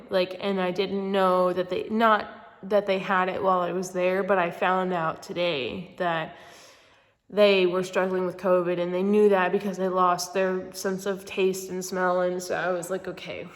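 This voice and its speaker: A woman's voice: on the low side, big-room sound. A young adult woman with a congested, stuffy-nosed voice, sick, chatting casually and in a rambling, informal way as a vlogger. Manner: informal, rambling, heavy use of "like". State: sick with a cold-like congestion and cough. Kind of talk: casual personal chat to camera.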